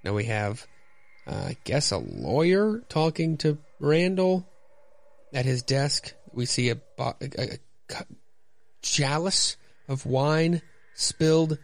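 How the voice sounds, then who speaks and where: A man hosting a podcast, giving casual, halting, self-deprecating commentary and narration on a comic. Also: on the high side, sing-song, gravelly, dry recording. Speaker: podcast host.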